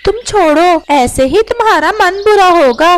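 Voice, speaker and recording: A woman's voice: very high-pitched, expressive, unhurried. A woman voicing a sly, gossipy female crow with a falsely sweet manner in a children's cartoon story. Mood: falsely concerned. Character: sly, scheming female crow stirring up quarrels. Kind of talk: animated children's moral story dialogue.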